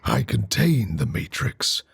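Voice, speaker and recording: A man voicing a grave, menacing robot schemer in an animated series, with a husky, gravelly voice and a formal, ominous manner. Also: on the low side, takes it slowly, heavily acted. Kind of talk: dramatic animated-series villain acting.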